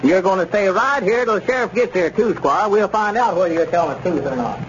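A man's voice: high voice, fairly quick, lightly acted. An actor playing a stern small-town man in a 1930s radio serial, questioning a suspect firmly in plain-spoken words. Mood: threatening. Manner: firm, accusing, plain-spoken. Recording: muffled, noisy 1930s radio recording.

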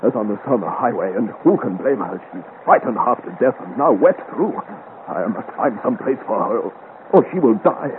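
A man's voice: mid-range voice, expressive, normal speed, narrow, tinny audio, close to an everyday voice. An actor playing an ardent, gallant young French swordsman in a theatrical 1950s radio adventure serial, with courtly, declamatory delivery. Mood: anxious. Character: gallant, ardent young swashbuckling hero of 17th-century France. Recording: thin, noisy old 1950s radio recording.

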